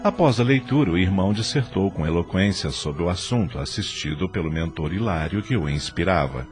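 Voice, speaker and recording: A man's voice: low voice, expressive, fairly quick, soft background music. A man with a Brazilian accent giving scripted narration for an audio drama in a solemn, formal storytelling manner.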